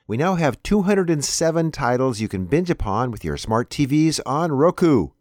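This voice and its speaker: A man's voice: medium pitch, big pitch swings, normal speed, darkish tone, no echo, studio quality. A man delivering scripted announcements as the presenter of a news show.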